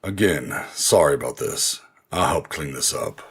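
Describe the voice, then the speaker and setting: A man's voice: extremely low pitch, expressive, measured pace, breathy edge, exaggerated voice. A man playing a troubled, grieving and determined male ally in a noir audio drama, speaking in a somber, earnest way. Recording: music behind the voice. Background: music.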